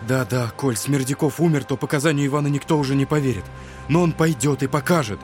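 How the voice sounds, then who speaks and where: An actor playing a gentle, devout and anxious younger brother, speaking earnestly and soothingly in an audio drama. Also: male voice, medium pitch, fast talker, faint music behind, lightly acted. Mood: solemn, prayerful.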